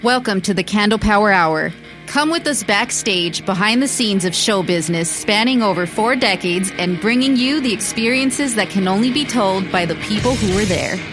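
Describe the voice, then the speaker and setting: A woman's voice: medium pitch, animated, normal speed, rough recording. A woman hosting a podcast, delivering a scripted show introduction. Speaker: podcast host. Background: music.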